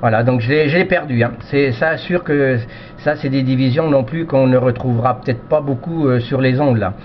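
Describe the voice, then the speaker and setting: Male radio hobbyist, mid-pitched, polite and hesitant, casual rambling chat, muffled lo-fi sound with slight noise. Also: brisk. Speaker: amateur CB radio operator. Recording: dull lo-fi radio audio with noise.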